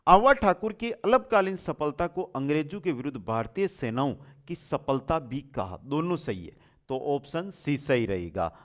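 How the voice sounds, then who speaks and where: A man's voice: on the high side, very animated, normal speed. A man teaching an exam-prep quiz lesson, reading out questions and explaining answers in a familiar, affectionate way with repeated key points.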